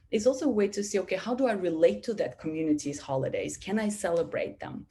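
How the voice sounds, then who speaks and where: Animated woman speaking in a webinar. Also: fairly low pitch. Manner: animated, many restarts and "you know" fillers. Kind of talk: webinar talk. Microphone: video call.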